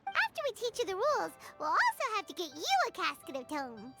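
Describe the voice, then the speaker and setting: A small floating fairy companion from an anime game. The voice is extremely high and childlike, swinging eagerly up and down with perky cheer. Prominent music plays behind it. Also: female voice, wildly swooping pitch, brisk, gravelly, full character voice. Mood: excited, cheerful. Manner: perky, talks of self in third person.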